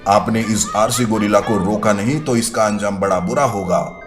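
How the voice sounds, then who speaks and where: A man voicing a stern police officer in an animated children's story, formal and warning. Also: fairly low pitch, normal speed, music in the background, lightly acted.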